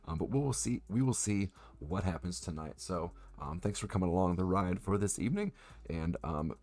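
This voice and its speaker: A man streaming a video game, his voice hoarse from a cold, talking casually with lots of ums. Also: low-pitched, normal speed. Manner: relaxed, lots of ums and uhs.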